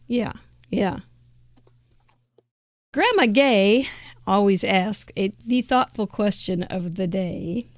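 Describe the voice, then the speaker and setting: A woman's voice: medium pitch, expressive, slow, long pauses, no room sound, clean studio sound. A woman hosting a live crafting show, chatting playfully and laughing often. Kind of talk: casual live-stream chat.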